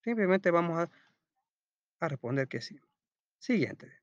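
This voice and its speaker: Male primary-school teacher, very lively intonation, didactic question-and-answer delivery, recorded dry and slightly dull. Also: fairly high pitch, measured pace, no room sound. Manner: didactic, asks questions and answers them himself. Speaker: teacher presenting a school textbook lesson. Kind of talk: read-aloud storytelling and step-by-step lesson narration.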